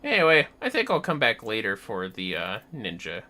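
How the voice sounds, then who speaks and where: A man's voice: fairly low pitch, wildly swooping pitch, normal speed, sharp tone, slight background noise. A man chatting casually on a game livestream, musing aloud and swearing mildly now and then. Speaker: livestreamer playing a video game.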